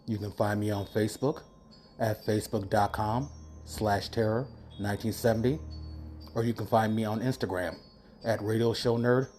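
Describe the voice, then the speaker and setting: A man's voice: on the low side, darkish tone. A man hosting a show, giving a casual sign-off to listeners. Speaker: podcast host. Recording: music behind the voice. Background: music.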